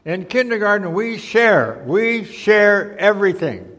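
A man puts on a scolding kindergarten teacher for a storybook, lifted well up in pitch. The lecturing is emphatic and stretched out, with the long reverb of a big hall around it. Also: very animated, a little slow, fairly bright tone, exaggerated voice.

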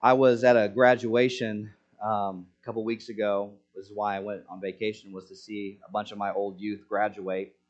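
A man's voice: fairly low pitch, little pitch movement, moderate pace, highs cut off. A man preaching a sermon in an earnest way, asking rhetorical questions to the congregation. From Senegal. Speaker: pastor.

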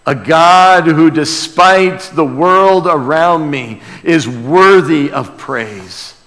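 A man preaching and praying to a congregation, earnest in manner, swelling from hushed to emphatic. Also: on the high side, takes it slowly. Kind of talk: sermon and prayer to a congregation. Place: large church room or hall. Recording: reverberant hall sound.

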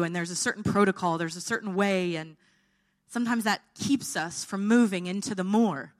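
A woman preaching an informal sermon, her voice tired and held back, often checking in with her listeners. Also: mid-range voice.

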